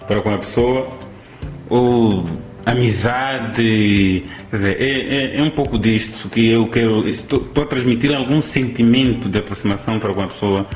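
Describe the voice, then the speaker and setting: Male interviewee, low voice, halting and repetitive, faint music behind. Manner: hesitant, repeats words.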